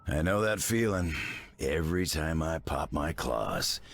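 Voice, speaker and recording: A gruff, battle-worn game superhero. The voice is extremely low and gravelly, yet it moves around a lot as he talks. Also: very animated, unhurried, full character voice. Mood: gruff, caring. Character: gruff, world-weary male superhero with claws. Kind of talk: video game voice acting.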